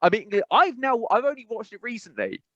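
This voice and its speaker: A man's voice: extremely high pitch, very animated, brisk, no room sound. Man, casual podcast chat, rambling with fillers, dry close sound, slightly dull. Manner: rambling, many fillers and restarts. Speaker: podcast co-host.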